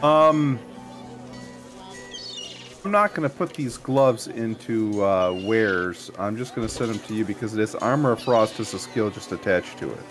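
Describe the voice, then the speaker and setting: A man with a lowish voice that rises and falls a lot talks through a co-op game in a casual way. He explains his inventory moves over clear game music. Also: animated, a little slow. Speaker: let's play co-host. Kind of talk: casual gaming chat.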